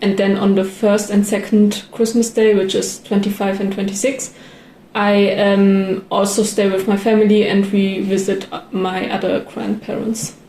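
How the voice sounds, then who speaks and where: A woman's voice: medium pitch, level tone, moderate pace, dark tone. A nineteen-year-old woman with a German accent, answering informally in an interview. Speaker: interviewed volunteer. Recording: echoey room, faint ambient noise.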